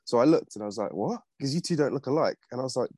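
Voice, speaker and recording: A man's voice: medium pitch, animated, racing, a little mellow, breathy edge. A young man, chatting casually as a co-host. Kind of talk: casual chat.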